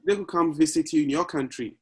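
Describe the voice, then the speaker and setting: A man's voice: fairly high pitch, fast, breathy edge. A man in a casual podcast conversation, talking thoughtfully and reflecting on what he hears, often asking questions. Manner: reflective, talks things through and asks questions.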